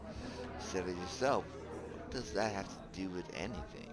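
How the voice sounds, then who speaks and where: Romance game male lead, acted by a man: young, low-pitched, earnest, teasing, lovestruck, music bed. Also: moderate pace, a faint crowd, close to an everyday voice. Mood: earnest, hesitant. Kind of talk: visual novel voice acting with narration. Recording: background music under the voice.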